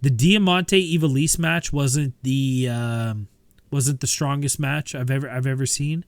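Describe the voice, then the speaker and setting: A man co-hosting a podcast, talking in a relaxed, rambling way with lots of fillers and restarts. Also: mid-range voice, unhurried, no room sound. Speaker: podcast co-host. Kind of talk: casual chat.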